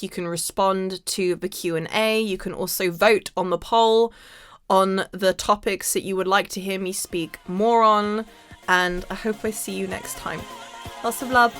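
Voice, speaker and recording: Female podcast host, mid pitch, slightly hoarse, emphatic motivational delivery, dry recording. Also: pushed and bright, no room sound. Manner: emphatic, repeats key phrases. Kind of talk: motivational spiritual monologue to listeners.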